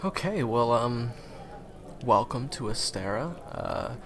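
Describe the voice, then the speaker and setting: A man's voice: mid-range voice, expressive, slow pace, vocal fry. A man acting in an audio roleplay as a friendly, awkward, eager young guide to monster hunters, talking hesitantly and stammering to the listener. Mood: helpful, explaining.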